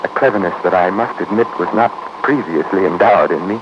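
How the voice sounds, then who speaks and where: An actor playing a cunning, unstable murderer in a 1950s radio thriller, articulate, mock-polite and condescending. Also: male voice, low-pitched, quick pace, close to an everyday voice. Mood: smug, confiding. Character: suave, cunning, mentally unstable murderer in handcuffs, male prisoner. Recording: noisy, muffled 1950 radio recording.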